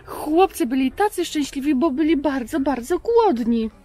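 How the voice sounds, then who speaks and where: A woman in her thirties hosting a children's Bible show, telling stories in simple, conversational words with pauses. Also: high-pitched, lively intonation, dark tone. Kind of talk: storytelling to a puppet for children. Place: outdoors in a garden.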